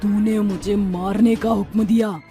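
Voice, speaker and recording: A woman voices a vengeful witch spirit in a cartoon horror story. She taunts and threatens in a menacing manner. Her pitch sits in the middle. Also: steady tone, measured pace, dark tone, soft background music, close to an everyday voice.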